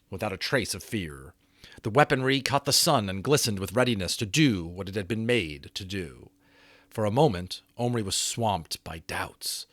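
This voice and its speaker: Expressive low-voiced male storyteller. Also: no room sound. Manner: expressive with theatrical pauses. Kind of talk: dramatic audiobook reading. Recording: dry close recording.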